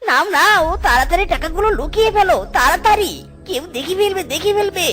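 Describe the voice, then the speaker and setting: Cartoon crooked manager, played by a woman: extremely high, greedy, wheedling, faint music behind. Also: expressive, fairly bright tone. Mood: furtive panic. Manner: hurried, repetitive pleading. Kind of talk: children's cartoon voice acting.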